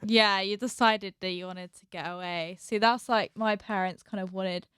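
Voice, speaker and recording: Podcast co-host: female, clean resonant tone, dry recording, relaxed conversational delivery. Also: medium pitch, normal speed, clear, resonant tone, no echo. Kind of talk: casual chat.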